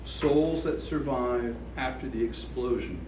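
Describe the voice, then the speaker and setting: A man reading his own poem aloud at a gallery event, slow and measured, with falling line endings. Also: medium pitch, background noise. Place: art gallery room. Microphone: away from the microphone. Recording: echoey room from a distance.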